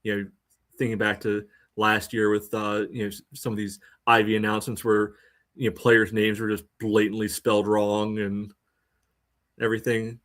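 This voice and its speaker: Lower-pitched man in casual podcast talk. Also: completely flat delivery, moderate pace, a bit bright. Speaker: podcast co-host. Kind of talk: casual sports podcast chat.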